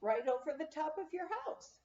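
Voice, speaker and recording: A woman's voice: high voice, big pitch swings, normal speed, warm tone, a little hiss or hum, voice pushed up. A woman reader voicing a plump, jolly small-town grocer, kindly and amused, in a memoir reading. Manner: folksy, reassuring, amused. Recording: roomy, slightly dull home recording.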